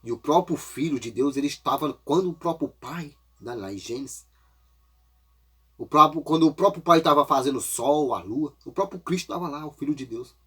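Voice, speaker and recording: A man's voice: on the high side, expressive, brisk, pushed and bright, slightly rough. A man preaching an informal, folksy sermon while congested with a cold, often tagging his phrases with a questioning aside.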